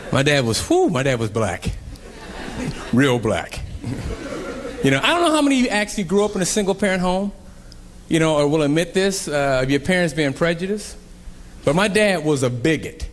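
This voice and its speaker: A young man performing a stand-up comedy set on a club stage, telling animated stories and acting out characters. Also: fairly high pitch, a little fast, long pauses. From Columbus, Ohio. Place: comedy club stage before a laughing crowd. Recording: live club recording with crowd laughter and noise.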